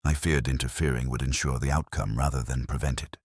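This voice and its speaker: Guy playing a solemn unshaken seer for a storybook, very low, a little raspy. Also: fairly even intonation, a little fast, dry recording, clean studio sound. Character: calm, unshaken male stranger who foretells events. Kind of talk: audiobook character dialogue.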